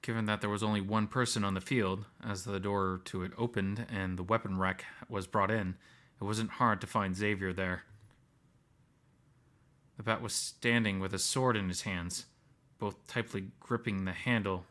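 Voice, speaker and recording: Man, low pitch, bright forceful tone, scripted first-person narration, visual novel reader. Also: fairly even intonation, moderate pace.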